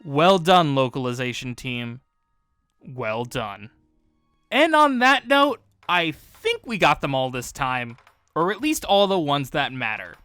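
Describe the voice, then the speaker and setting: A man presenting scripted video commentary as host, with an expressive, emphatic delivery. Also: fairly high pitch, slow, clear, resonant tone, no room sound. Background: background music.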